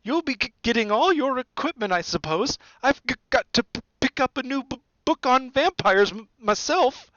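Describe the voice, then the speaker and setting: High, stammering, nervous young professor. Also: male voice, lively intonation, moderate pace, sharp tone, no room sound, muffled recording, heavily acted. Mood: anxious, stammering. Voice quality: heavy stammer on consonants. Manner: timid, hesitant, muttering.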